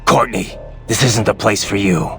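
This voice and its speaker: An actor playing a grim, weary, duty-bound cyborg soldier in a video game, with a gravelly voice and brooding, terse manner. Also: male voice, lowish voice, moderate pace, bright, forceful tone, faint music behind. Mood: bitter, brooding.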